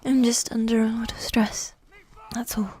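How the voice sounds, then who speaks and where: A woman playing a regal, seductive, coolly manipulative evil queen in a medieval ASMR roleplay, speaking in a soft, breathy whisper with formal, measured phrasing. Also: fairly high pitch, little pitch movement, lightly acted. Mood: tense, tender. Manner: slow, measured, formal, with long pauses.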